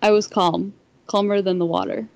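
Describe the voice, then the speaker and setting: A woman's voice: medium pitch, fairly even intonation, normal speed, a little mellow, no room sound. A woman reading a first-person story aloud on a podcast, sometimes stumbling over the words. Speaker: fan fiction podcast reader.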